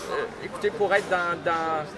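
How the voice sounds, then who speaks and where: Québécois man, higher voice, street chat, loud crowd all around, emphatic. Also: steady tone. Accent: Québécois French. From Quebec. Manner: emphatic, quick repeated agreements. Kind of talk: casual street conversation.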